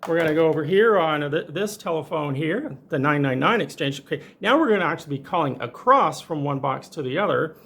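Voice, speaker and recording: A man's voice: on the high side, animated, fairly quick, vocal fry, hardly any pauses, a bit bright, breathy edge. A man presenting a casual hands-on tech tutorial in an informal, enthusiastic way.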